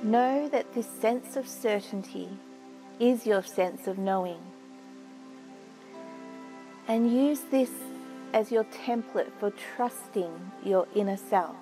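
A woman narrating a guided meditation in a soothing, measured way with long pauses. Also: medium pitch, dark tone, poor audio. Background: music.

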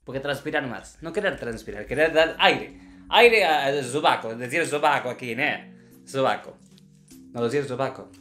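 A man's voice: mid-range voice, moderate pace, pushed and bright, lightly acted. A man playing a strict, blunt, theatrical Russian ballet teacher in a comic commentary, with a Russian accent and broken grammar. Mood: instructive. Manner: broken Spanish with infinitive verbs, chanted counting syllables. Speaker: ballet teacher reviewing dancers' videos. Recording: roomy echo with faint music behind.

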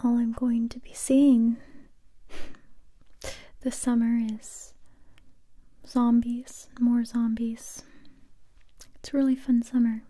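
A young woman playing a tough, determined survivor interrogating a captive in a soft, breathy ASMR whisper, close to the microphone. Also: fairly high pitch. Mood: hushed, confiding. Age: young adult. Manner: slow, deliberate, repeating phrases. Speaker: ASMR roleplay performer.

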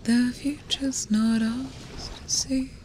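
A young woman whispering and softly singing lullabies as an ASMR host, recorded close on a binaural mic in a small room. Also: a little high, level tone, takes it slowly, a little hiss or hum. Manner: soft whispering, sings lullabies quietly.

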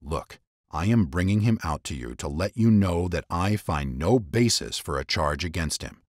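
A man voicing a troubled, weary but commanding Roman governor with deliberate, dramatic delivery in a scripture reading. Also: low-pitched, animated, dry recording, clean studio sound. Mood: weary, measured.

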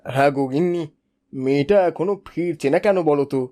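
Man voicing a mellow, fretful village father. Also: a little high, fairly quick, natural voice. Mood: anxious. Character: worried, tender village father. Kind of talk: Bengali fairy-tale cartoon dubbing.

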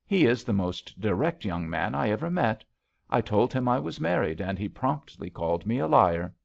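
A man's voice: fairly low pitch, very animated, normal speed, vocal fry, exaggerated voice. A man voicing a spirited, stubborn young woman in an audiobook love story, eager and direct. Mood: bright, playful. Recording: slightly dull, lo-fi audiobook recording.

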